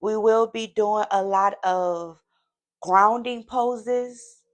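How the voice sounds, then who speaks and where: A woman hosting a yoga session, speaking in soothing, deliberate, measured phrases as she guides relaxation and gives motivational talk. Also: mid-range voice. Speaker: yoga session host and instructor.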